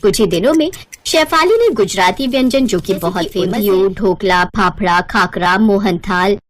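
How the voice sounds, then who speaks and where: A woman's voice: medium pitch, animated, normal speed. A woman narrating a scripted moral bedtime story as a storyteller.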